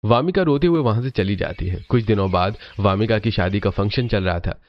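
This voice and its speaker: Low-pitched man narrating a cartoon story. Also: brisk, dull audio.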